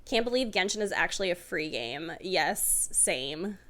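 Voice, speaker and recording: A woman streamer reacting live to a game in a casual, expressive, excitable way, often repeating words. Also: lowish voice, unhurried.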